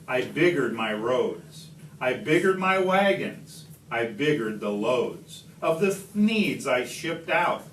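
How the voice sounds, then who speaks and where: A man reading a rhyming picture book aloud expressively, with deliberate, emphatic phrasing and dramatic stresses. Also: fairly high pitch, a bit bright. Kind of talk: expressive storybook read-aloud in rhyme. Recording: distant mic, echoey room, background noise.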